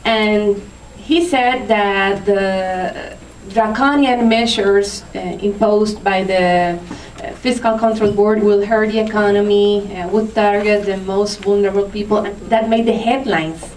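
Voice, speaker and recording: A woman's voice: mid-range voice, fairly even intonation, measured pace, darkish tone, a little hiss or hum. A woman on a conference panel, answering an audience question hesitantly, with many fillers and restarts. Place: conference room. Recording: roomy, distant from the mic.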